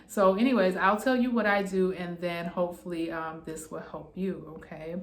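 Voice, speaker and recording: A woman in her forties with a New York accent talks through how she works as an acupuncturist. Her voice sits low and friendly, and she keeps checking in with listeners in a chatty, reassuring way. The room around her adds a noticeable echo. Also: fairly even intonation, normal speed. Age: 40s. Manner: chatty, reassuring, often tags "okay?". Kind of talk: casual explainer talking to camera.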